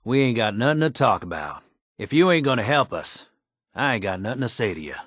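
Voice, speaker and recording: An actor playing a folksy, wisecracking American tinkerer in a video game, talking in casual slang. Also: male voice, mid-range voice, sing-song, brisk, fairly bright tone, breathy edge, no room sound, studio quality. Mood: blunt, exasperated. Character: folksy, wisecracking male tinkerer and scrappy settler ally.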